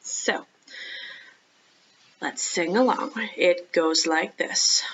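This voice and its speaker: A woman leading a storytime for young children as a librarian, talking directly to the kids with questions and pauses. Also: fairly low pitch, slight background noise, low-quality recording. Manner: child-directed, asking questions and pausing for answers.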